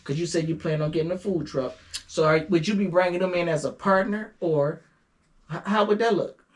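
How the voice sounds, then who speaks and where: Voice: medium pitch, brisk. A talk show host aged fifty, questioning a guest conversationally and pressing each question again and again. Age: 50s. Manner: persistent, repeats and presses questions.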